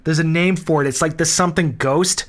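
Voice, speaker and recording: Casting: male co-host, somewhat higher pitch, informal chat that gropes for the next word. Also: brisk, a bit bright. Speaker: podcast host. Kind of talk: casual chat.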